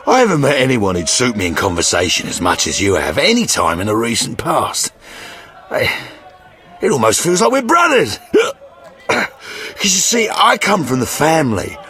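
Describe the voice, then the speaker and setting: Blustering, swooping-voiced mercenary sergeant. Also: male voice, mid-range voice, fairly bright tone. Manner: blustering, theatrical. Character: boastful, crude, sentimental male mercenary sergeant. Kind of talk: fantasy video game dialogue.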